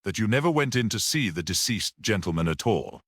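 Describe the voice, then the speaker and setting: A man's voice: on the low side, very animated, brisk, fairly bright tone, no echo, studio quality. Man acting a relentless, incredulous prosecuting barrister. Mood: incredulous, insistent. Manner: grating incredulity, insistent questioning.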